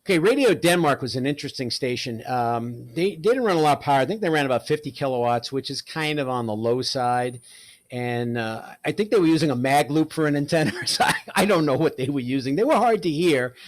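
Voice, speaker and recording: A man presents in a relaxed conversational style. He is wry and jokes as he goes, talking informally like a hobbyist sharing memories. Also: medium pitch, fairly quick. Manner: wry, joking. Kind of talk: casual talk about old shortwave radio.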